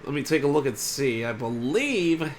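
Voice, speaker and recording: A man's voice: medium pitch, moderate pace, slight background noise. A man hosting a homemade game show, upbeat and chatty, with a voice congested by allergies. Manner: energetic, chatty, throat-clearing.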